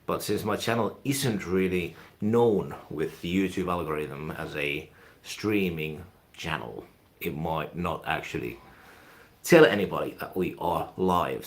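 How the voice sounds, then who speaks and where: A man from Finland with a Finnish accent, hosting his own live stream and rambling casually, often trailing off quietly. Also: deep voice. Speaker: YouTube channel host.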